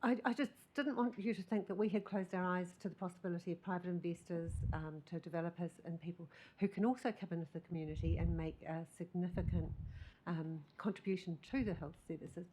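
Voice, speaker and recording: Soft-spoken nz woman at a council meeting, lowish voice, faint hiss behind. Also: steady tone, quick pace, rough recording. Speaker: committee member.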